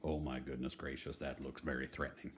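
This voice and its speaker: A man's voice: a bass voice, flat delivery, brisk, slight background noise. A man reading a children's picture book aloud in a folksy, playfully dramatic manner, adding chatty asides. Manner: folksy, playful dramatic delivery, dropping to hushed tones.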